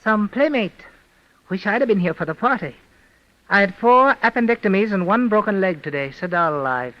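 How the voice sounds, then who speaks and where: Blunt, sardonic doctor, vintage radio mystery. High-pitched, wisecracking, lo-fi recording. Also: male voice, animated, moderate pace. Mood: dry. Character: cynical, sardonic male doctor, detective's friend. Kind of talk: 1940s radio mystery acting.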